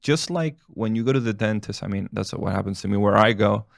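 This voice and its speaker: A man's voice: lowish voice, a little fast, vocal fry, no echo, studio quality. A man in his thirties, a family physician and native Spanish speaker, talking casually and rambling, with restarts and chuckles. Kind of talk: casual talk to camera, answering questions.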